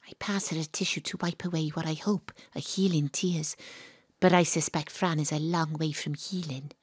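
An actress playing a sympathetic, self-mocking private detective, narrating an audio drama in a theatrical, wry manner. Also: female voice, sits low, steady tone, normal speed, slightly rough, no room sound, studio quality. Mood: reflective, wry. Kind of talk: first-person audio drama narration and dialogue.

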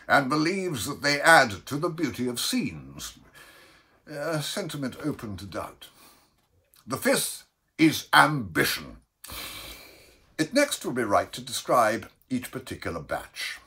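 A man doing a bossy, loud, excitable ship's bellman. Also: medium pitch, breathy edge, voice pushed up, heavily acted. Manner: loud, indignant interrupting.

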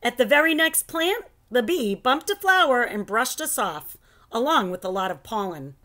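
A middle-aged woman reading a children's picture book aloud in an expressive, deliberate storytelling manner, at home. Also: a little high. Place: living room at home.

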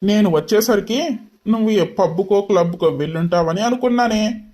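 A woman's voice: mid-range voice, moderate pace, warm tone, voice pushed down, close to an everyday voice. A woman voicing a cold, mocking rich young husband in a drama story, drawling with sarcasm.

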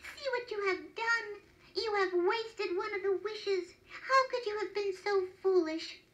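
A woman acts out a woodcutter's wife from a children's tale. She is excitable and apt to scold, exclaiming in a very high voice. Her words come slowly and with emphasis. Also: poor audio. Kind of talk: children's storybook character reading.

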